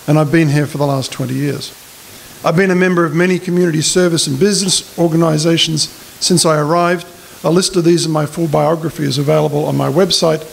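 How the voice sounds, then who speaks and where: Gravelly Australian man at a lectern. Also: a little high. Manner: plain-spoken, hesitant restarts when answering questions. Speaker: political candidate. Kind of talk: candidate speech and Q&A at a public forum. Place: community hall with an audience. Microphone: stand mic at a lectern. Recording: faint hiss in a hall.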